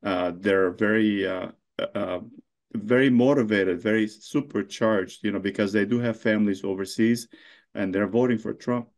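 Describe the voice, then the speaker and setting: A middle-aged man born in Lebanon, a mayor answering interview questions in a halting way, full of fillers and restarts. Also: fairly low pitch, darkish tone.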